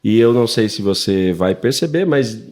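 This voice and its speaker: A man's voice: on the low side, animated, moderate pace, a little mellow. A man hosting a church podcast, bantering playfully and laughing often. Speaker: podcast host. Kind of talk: casual podcast chat.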